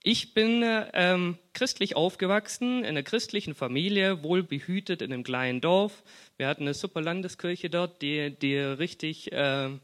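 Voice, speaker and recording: A young man speaking with a Swabian accent gives a casual sermon. His voice sits a little high for a man, and he talks in a loose, chatty way, full of fillers and false starts. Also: moderate pace. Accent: Swabian German. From Swabia, Germany. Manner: relaxed, chatty, many fillers and restarts. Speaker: guest preacher. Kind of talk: casual sermon with personal stories. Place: church hall.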